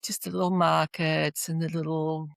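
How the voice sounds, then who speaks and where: A woman's voice: low voice, flat delivery, normal speed, creaky, dry recording. A woman from Flanders in Belgium, a native Flemish speaker, chatting casually and enthusiastically as a podcast guest. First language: Flemish Dutch. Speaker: podcast guest, tourism lecturer. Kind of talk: casual personal chat.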